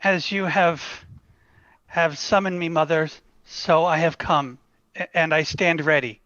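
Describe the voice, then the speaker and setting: Guy doing nervous young farm boy, formal and stiff, sharp higher voice, kinda dull lo-fi mic. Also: fairly even intonation, a little slow, no echo. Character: nervous young shepherd man summoned before powerful women. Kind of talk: table read of a fantasy screenplay.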